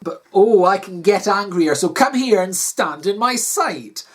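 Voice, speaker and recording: A woman's voice: fairly low pitch, big pitch swings, moderate pace, darkish tone, breathy edge. A woman voicing a stern, commanding male time-travelling doctor who speaks emphatically and with exasperation. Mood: angry.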